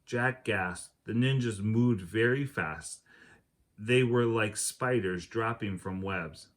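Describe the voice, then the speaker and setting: A man reading a children's chapter book aloud as an expressive storyteller who acts out the dialogue. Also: on the low side, takes it slowly. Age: adult.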